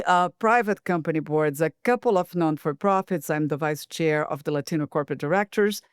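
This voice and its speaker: A middle-aged woman from Brazil with a Brazilian Portuguese accent, a board director guest on a podcast interview, speaking in a measured way and thinking aloud. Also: on the low side, clean tone, no echo, studio quality.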